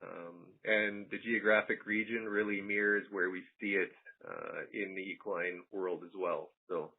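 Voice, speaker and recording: A man speaks over a thin, tinny phone conference line. His voice is fairly low and rather husky. He presents technical material informally, in a measured, halting way with careful qualifications. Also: fairly even intonation. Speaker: animal health surveillance presenter. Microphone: telephone conference call.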